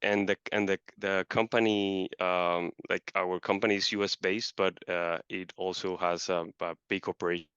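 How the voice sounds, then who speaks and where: A man's voice: sits low, flat delivery, moderate pace, hardly any pauses, a bit bright, clear, resonant tone, dry recording, muffled recording. A man from Colombia whose first language is Spanish, answering interview questions in a hesitant way with many fillers and restarts. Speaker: guest, marketing manager.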